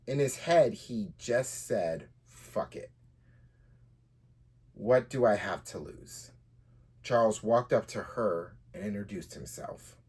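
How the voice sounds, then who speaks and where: A man's voice: on the low side, a little mellow, slightly rough. A man hosting a storytelling podcast as a writer, moving between casual chat and reading from their own fiction.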